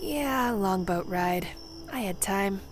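A woman's voice: medium pitch, expressive, slow pace, over music. An actress playing a sarcastic, hot-headed female monster bent on revenge in a radio drama, speaking with emphatic attitude. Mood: dry sarcasm. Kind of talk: radio drama acting with narration.

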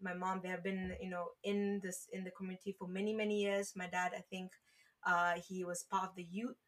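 A woman's voice: on the low side, level tone, a little fast, fairly bright tone. A woman from Malaysia, a podcast guest, talking earnestly and rambling with "you know" and restarts. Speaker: podcast guest, founder of an online community page. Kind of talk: casual conversational interview.

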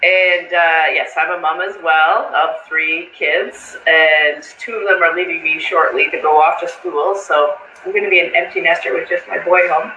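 A woman's voice: on the low side, fairly even intonation, normal speed, hardly any pauses, sharp tone, a little hiss or hum. A woman in her forties from Ontario, Canada, a nervous guest on a live stream who laughs and restarts her sentences. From Niagara region, Ontario, Canada. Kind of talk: casual chat on a live stream. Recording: echoey room, dull lo-fi sound.

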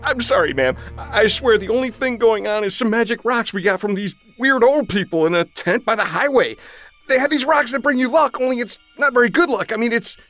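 A man's voice: extremely high pitch, animated, fairly quick, fairly bright tone, soft background music, heavily acted. A man playing a hapless, nervous young prisoner in a comedic audio drama, rambling and apologetic. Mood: nervous, pleading.

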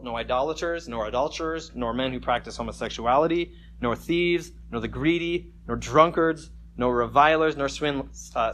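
Pastor giving a relaxed, joking sermon. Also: male voice, medium pitch, a little hiss or hum. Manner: casual and joking, with emphatic scripture reading. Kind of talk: conversational sermon. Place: church service with slides.

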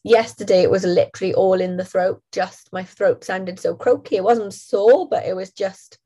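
A woman, co-hosting a podcast in casual chat while nasal and hoarse from a cold. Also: mid-range voice, fairly even intonation, normal speed, warm tone. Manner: chatty, laughs often. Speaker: podcast co-host.

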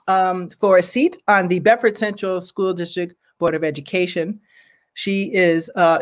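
A woman hosting a local talk show, reading the guest's introduction in a halting, apologetic way and asking questions. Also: lowish voice.

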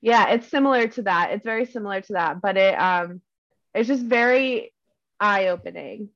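A woman's voice: medium pitch, normal speed, a bit bright, no room sound. A young woman talks with earnest enthusiasm in a casual podcast chat, full of "um"s and "like"s. Her voice sounds dry and close, a little dull and lo-fi.

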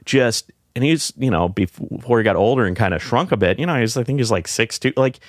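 A middle-aged man with a deep voice, speaking in a reflective, halting way with many fillers and restarts, as a guest in a casual heartfelt conversation. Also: animated, no echo, studio quality. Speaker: podcast guest.

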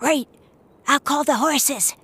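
A woman's voice: high voice, slow pace, fairly bright tone. A woman acting a dotty, eccentric old Puritan widow who blurts things out in a scatterbrained way, in a comedy audio drama. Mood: dotty, oblivious. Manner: scatterbrained, blurting non sequiturs.

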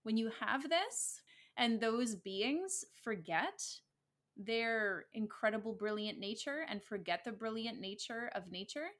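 Slow, earnest woman podcast co-host. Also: a little high, expressive, a bit bright. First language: English. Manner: earnest, reflective, laughs easily. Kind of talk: casual spiritual podcast chat.

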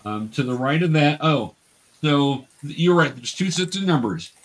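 A man's voice: a little high, animated, a little slow. A man acting as game master, patiently explaining rules and narrating a tabletop fantasy game.

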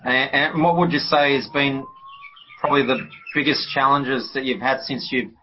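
A man hosting an interview, asking long, halting questions in a casual way. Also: medium pitch, steady tone, lo-fi sound, slight background noise. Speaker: podcast host.

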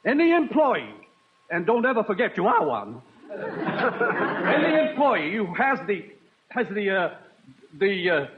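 A man's voice: sits high, huge pitch swings, slow pace, pauses often, a faint crowd, exaggerated voice. An American actor playing a pompous, easily flustered middle-aged college board chairman in old radio comedy, sputtering and stammering. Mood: grudging, flustered. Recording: muffled, noisy vintage radio recording.

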